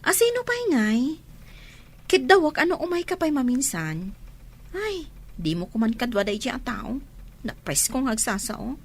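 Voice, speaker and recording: A woman's voice: high-pitched, very animated, unhurried, pauses often, full character voice. A woman with an Ilocano accent playing a coy young village maiden being courted in a radio comedy drama.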